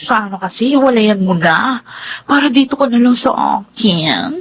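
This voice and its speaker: High-voiced selfish young Filipino mistress. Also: female voice, lively intonation, a little slow, slightly rough, dry recording, highs cut off, heavily acted. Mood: clingy. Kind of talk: Filipino radio drama acting.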